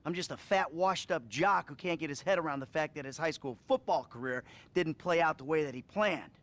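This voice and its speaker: A man's voice: on the high side, a little fast, fairly bright tone, natural voice. An actor playing a troubled middle-aged American criminal in a video game, rambling and profane as he confides in his therapist. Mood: defensive. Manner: profane, rambling, agitated, sighing. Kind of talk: acted video game cutscene dialogue.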